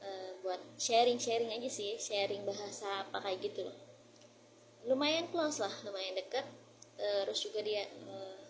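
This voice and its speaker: A woman's voice: mid-range voice, background noise. A woman with an Indonesian accent talking casually as a vlogger, informally mixing Indonesian with English phrases. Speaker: vlogger sharing English-learning tips. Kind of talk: casual vlog chat.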